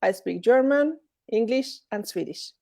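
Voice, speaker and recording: A woman's voice: on the high side, lively intonation, unhurried, creaky, a little mellow. A woman from Hungary with a Hungarian accent, answering interview questions over a video call in a webinar. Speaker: guest speaker.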